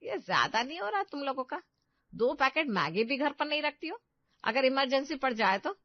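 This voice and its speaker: Older mother-in-law, scolding played by a woman, higher-than-average voice, lo-fi cartoon sound. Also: big pitch swings, normal speed. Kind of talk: Hindi moral-story cartoon dialogue.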